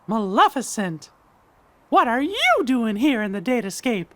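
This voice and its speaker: A man voicing a small, plucky, earnest male cartoon mouse hero in a video game, squeaky and exclaiming. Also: very animated, measured pace, a bit bright, exaggerated voice. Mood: indignant. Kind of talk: video game cutscene voice acting.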